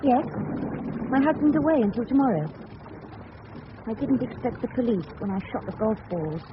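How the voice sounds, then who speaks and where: Casting: desperate English suburban murderess, high voice, halting melodramatic delivery, noisy vintage radio sound. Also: female voice, sing-song. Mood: resigned. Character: desperate, overwrought married murderess in 1930s suburbia. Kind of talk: radio drama acting. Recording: muffled, noisy old radio recording.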